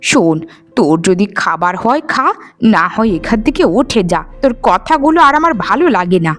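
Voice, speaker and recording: A woman's voice: fairly high pitch, very animated, moderate pace, faint music behind. A woman voicing a weary, middle-aged village mother in a cartoon, nagging and reproachful. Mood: scolding, weary. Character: weary, scolding poor widowed village mother of twins. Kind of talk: animated folk-tale cartoon voice acting.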